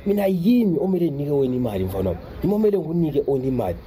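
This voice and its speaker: Mellow, rapid-talking father. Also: fairly high pitch, sing-song, a little hiss or hum. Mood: insistent, proud. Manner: repetitive, exclamatory, colloquial. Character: proud, protective father struggling to provide for his son. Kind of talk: radio drama dialogue.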